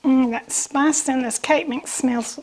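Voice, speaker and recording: High-pitched Southern woman, slightly raspy from bronchitis. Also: fairly even intonation, takes it slowly, darkish tone. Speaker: host of a home cooking channel. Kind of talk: casual cooking demonstration.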